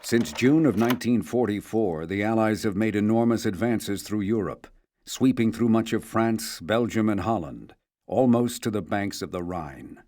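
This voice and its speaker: A man voicing a sober, measured narrator of World War II history in a video game. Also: fairly low pitch, a little mellow, close to an everyday voice. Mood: grave, measured. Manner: measured, grave. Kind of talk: scripted documentary-style narration. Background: music.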